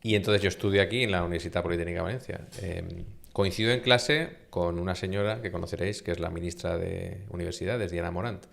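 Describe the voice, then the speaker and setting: A middle-aged man from Spain with a Castilian accent, a university vice-rector speaking as an interview guest, emphatic and animated, often posing rhetorical questions. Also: deep voice, fast talker, creaky. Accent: Castilian Spanish. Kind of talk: conversational interview.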